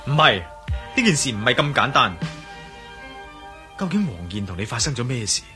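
A man's voice: mid-range voice, sing-song, moderate pace, pushed and bright, faint music behind. A man playing an insistent, impatient investigator in a radio drama, pressing with questions in Hong Kong Cantonese. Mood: concerned, probing. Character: insistent, impatient male investigator, master of the house.

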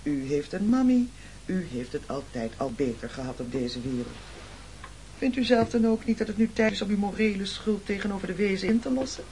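An actor playing a pompous, scheming castaway boss in an absurdist comic radio play, speaking in grand, declamatory rhetoric. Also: male voice, high-pitched, lively intonation, fairly quick, darkish tone, slight background noise, poor audio. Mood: accusing, rhetorical.

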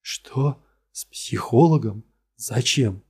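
A man voicing a terrified male murder suspect in a storybook reading, pleading and shouting in a hoarse, cracking voice. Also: mid-range voice, animated, slow pace, heavily acted. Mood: confused.

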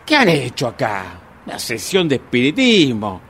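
A man's voice: mid-range voice, wildly swooping pitch, normal speed, fairly bright tone, slightly rough, exaggerated voice. A man with an Argentine Rioplatense accent playing a grumbling, tipsy husband in a radio drama, muttering exasperated complaints to himself. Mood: irritated. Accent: Argentine Rioplatense Spanish. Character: grumbling tipsy husband stumbling home at night.

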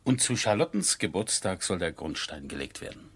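An actor playing a courteous, reserved army captain in a radio drama. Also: male voice, sits low, animated, moderate pace, heavy background noise. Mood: reserved, inquiring. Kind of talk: German radio drama acting.

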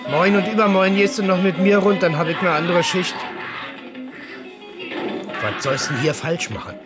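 An actor playing a chatty, nosy night-shift colleague in a German radio drama, blunt and colloquial. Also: male voice, high voice, normal speed, lightly acted. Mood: probing, familiar. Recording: loud music behind the voice.

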